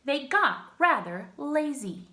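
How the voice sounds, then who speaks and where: A woman reading a children's picture book aloud like a teacher, with expressive, emphatic phrasing. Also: high-pitched, takes it slowly, slight background noise. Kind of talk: children's story read-aloud.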